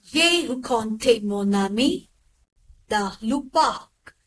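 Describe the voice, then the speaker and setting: Slow, clearly enunciating woman. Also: a little high, expressive. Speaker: language lesson model speaker. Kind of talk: slow model repetitions of sentences.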